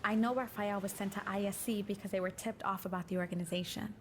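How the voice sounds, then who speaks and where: A woman's voice: medium pitch, steady tone, fast talker, noisy background, close to an everyday voice. A woman playing a determined, assured female secret agent in an audio drama, speaking assertively. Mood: guarded, pressing. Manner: assertive, insistent.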